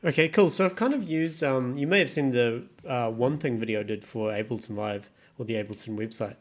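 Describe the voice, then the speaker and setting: A man's voice: medium pitch, fairly quick. A man casually thinking aloud as he walks through music production steps on his computer, offhand and muttering to himself. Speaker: music production tutorial creator. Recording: clear background noise, keyboard and mouse clicks. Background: computer typing and clicking.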